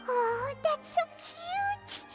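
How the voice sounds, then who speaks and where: A woman voicing a small, excitable, childlike fairy companion with a squeaky voice in a video game. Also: a little slow, heavily acted. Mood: excited. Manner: excitable, refers to herself by name. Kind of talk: animated video game character acting. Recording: game music behind the voice.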